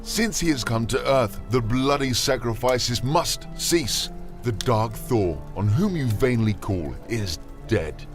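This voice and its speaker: Guy acting as fervent old-time missionary priest, big swings in pitch, declaiming over loud music. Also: animated, slow. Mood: solemn, commanding. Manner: declamatory, formal archaic speech. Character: bold commanding missionary priest, fervent and solemn. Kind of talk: audio drama acting of a classic tale.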